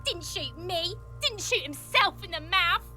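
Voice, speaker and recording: A woman's voice: lively intonation, unhurried, sharp tone, soft background music, heavily acted. A woman voicing a foul-mouthed, jeering boy who taunts police in a video game. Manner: shrill, jeering, swearing.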